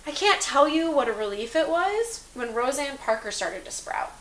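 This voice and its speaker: A woman's voice: high-pitched, very animated, normal speed, a bit bright. A woman playing a sympathetic, confiding teenage girl in an amateur dramatic dialogue reading. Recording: roomy echo, hiss in background.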